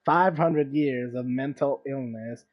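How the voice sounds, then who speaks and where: A woman's voice: a bass voice, slow. A woman streamer giving casual commentary while playing a game. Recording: dull lo-fi mic, game music behind. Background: game music.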